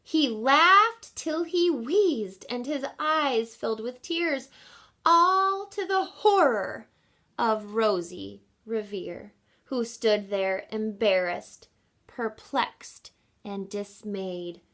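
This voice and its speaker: A woman reads a storybook to children like an encouraging teacher. Her voice is fairly high and swings widely in pitch. She takes her time, leaning deliberately on key words and speaking directly to her young listeners. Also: lively intonation, bright, forceful tone. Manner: encouraging, addresses children with questions and explanations. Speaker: reading teacher reading a picture book aloud. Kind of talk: expressive children's read-aloud with teaching asides.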